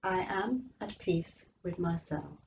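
A woman leading a guided yoga relaxation meditation in a slow, measured, soothing way with long pauses. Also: fairly low pitch, vocal fry, a little mellow, dull audio, a little hiss or hum. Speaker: yoga nidra instructor.

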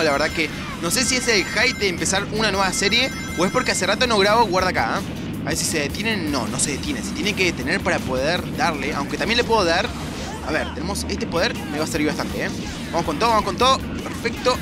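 A young man with a Latin American Spanish accent giving casual, excitable, repetitive let's play commentary as a gaming host. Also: high-pitched. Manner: excitable, repetitive, mild swearing. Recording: loud game music behind voice. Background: video game music and sounds.

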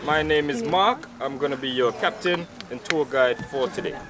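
A man's voice: medium pitch, normal speed, very noisy. A young man working as a boat tour guide, giving a playful announced briefing to a group outdoors. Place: outdoors by water.

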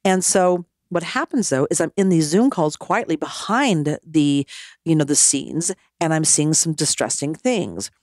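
A woman, a former mayor, telling her story as a podcast guest in an animated way, often acting out what people said. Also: deep voice, a little slow, no echo, studio quality. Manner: animated, acts out quoted dialogue. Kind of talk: storytelling conversation.

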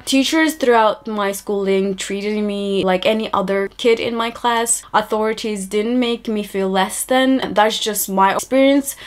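Young woman vlogger, twenties, calm reflective talking-head delivery, mid-pitched. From born in Rwanda, raised in Norway. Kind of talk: scripted talking-head vlog. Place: bright minimal indoor room.

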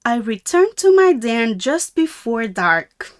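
A woman voicing a friendly little meerkat in a children's storybook, with a simple, deliberate, childlike sing-song delivery. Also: on the high side, very animated.

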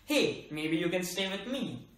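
A young performer voicing a friendly village man who talks in a slow, soothing, reassuring way. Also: mid-range voice, sing-song, fairly bright tone, pitched below the performer's own voice. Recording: echoey room, voice far from mic.

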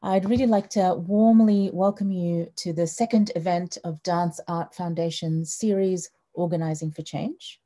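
Woman, middle-aged, from Australia, host, video call headset, very dark mellow tone, measured with ums. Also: fairly low pitch, steady tone. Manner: warm, measured, frequent ums. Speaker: host of an online talk. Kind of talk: welcoming introduction on a video call. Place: small wood-panelled room indoors.

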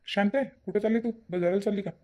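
A man's voice: sits high, quick pace, a little mellow, close to an everyday voice. A man voicing a forgetful, henpecked village farmer husband in a comic cartoon, rustic and submissive, with a Varhadi Marathi accent. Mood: placating. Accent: Varhadi Marathi, Vidarbha. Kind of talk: amateur comic cartoon voice-over.

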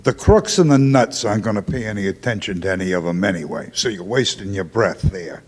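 A man's voice: lowish voice, lively intonation, brisk, a little hiss or hum. An older man speaking bluntly from the floor at a town meeting, holding a handheld mic. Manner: blunt, plain-spoken. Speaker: audience member. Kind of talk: public comment at a town meeting. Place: school auditorium, speaking from the floor. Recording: hall reverb in a large auditorium.

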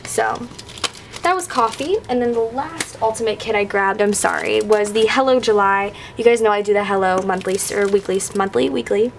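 A woman's voice: medium pitch, creaky, a little hiss or hum. A woman in her twenties, recovering from illness and losing her voice, gushes through a casual haul of planner stickers at a tabletop. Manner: gushing, fast filler-heavy enthusiasm. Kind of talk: casual haul show-and-tell. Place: indoors at a table, hands-only overhead camera.

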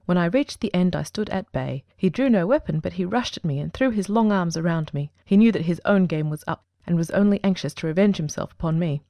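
Woman doing a composed gentleman detective in an audiobook, low-ish mellow voice, formal and precise, dry close sound. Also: animated, no echo. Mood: measured, grave. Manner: measured, formal, articulate. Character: composed, precise male detective recounting his escape. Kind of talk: dramatic audiobook reading.

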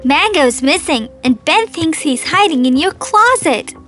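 A woman's voice: sits high, lively intonation, measured pace, a bit bright, faint music behind. A woman voicing a cheerful, curious and kind young girl in a children's cartoon. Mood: alarmed.

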